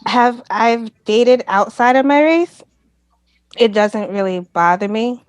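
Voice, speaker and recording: A woman's voice: on the high side, slow pace, dry recording. Easygoing woman in her twenties. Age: 20s. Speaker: guest. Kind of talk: casual group chat.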